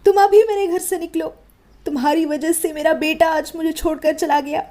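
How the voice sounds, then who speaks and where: Woman as a harsh, shrill village mother-in-law; high, scolding. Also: steady tone, normal speed, warm tone. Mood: wailing.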